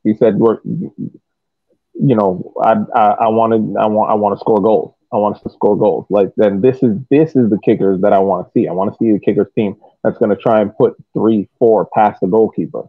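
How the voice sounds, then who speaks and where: A man co-hosting a casual sports podcast, halting and restarting his phrases as he talks. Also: on the low side, steady tone, husky, dry recording. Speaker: podcast co-host. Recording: dry, thin phone-like audio.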